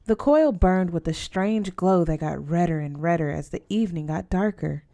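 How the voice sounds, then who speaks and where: A woman's voice: fairly low pitch, lively intonation, normal speed, vocal fry, mellow. A woman reading a children's novel aloud as scripted narration. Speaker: audiobook reader.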